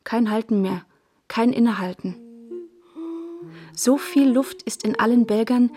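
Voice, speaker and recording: A woman's voice: fairly high pitch, unhurried, dark tone, soft background music. A woman narrating scripted poetic prose as part of a radio reading.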